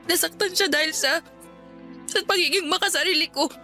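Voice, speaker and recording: An actress playing a remorseful young woman covered in sores, speaking softly and apologetically in a radio drama. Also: extremely high pitch, a little fast, soft background music. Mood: downcast. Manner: soft, downcast and apologetic.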